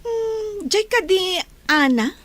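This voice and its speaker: A woman's voice: very high-pitched, animated, takes it slowly, noisy background, exaggerated voice. A woman playing a nosy, teasing schoolgirl sidekick in an audio drama, with exaggerated delivery. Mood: puzzled, nosy.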